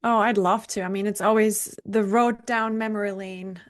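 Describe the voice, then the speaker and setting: A woman's voice: medium pitch, fairly even intonation, normal speed. A woman in her forties with a German accent, a business coach talking with enthusiasm as a radio interview guest, with fillers and restarts.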